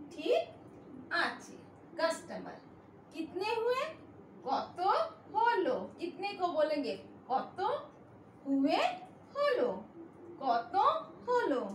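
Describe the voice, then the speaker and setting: A middle-aged woman teaching a language lesson at a whiteboard, slowly repeating each phrase for her learners. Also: very high-pitched, a bit bright, echoey room, slight background noise, low-quality recording. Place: indoor room in front of a whiteboard.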